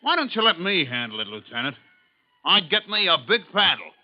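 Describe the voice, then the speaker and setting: An actor playing a gruff, grumbling fire engine driver in a 1950s radio drama, old recording. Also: male voice, high-pitched, big pitch swings, fairly quick. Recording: dull old radio recording with loud background noise.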